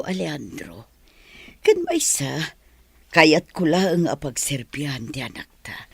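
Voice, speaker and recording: A woman with a Filipino accent playing a devoted middle-aged mother in a sentimental audio drama, breathy and tender. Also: low voice, no room sound, exaggerated voice.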